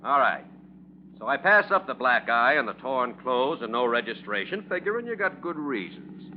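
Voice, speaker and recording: Gruff, streetwise radio cop played by a man. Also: mid-range voice, very animated, moderate pace, close to an everyday voice. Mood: tough, brusque. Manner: brusque, sardonic, slangy tough talk. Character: gruff, streetwise, suspicious male police officer. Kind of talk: 1940s radio acting. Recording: muffled, noisy old radio recording.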